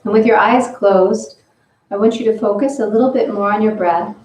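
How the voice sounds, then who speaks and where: Female yoga-style instructor, soft guided cues, recorded in a roomy space a bit off-mic. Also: mid-range voice, distant mic. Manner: slow, gentle step-by-step instructions. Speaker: breathing and movement instructor. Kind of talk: guided breathing and stretching session.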